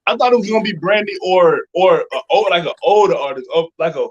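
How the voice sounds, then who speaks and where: A man hosting a casual interview, speaking in a halting, conversational way with backtracking. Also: sits high. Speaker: interview host. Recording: dull, muffled lo-fi audio.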